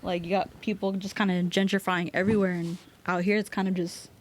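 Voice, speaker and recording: A woman tattoo artist guest with a Central Coast Californian accent, chatting casually and animatedly on a podcast. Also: fairly low pitch. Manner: animated, slangy, quick asides. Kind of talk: casual chat.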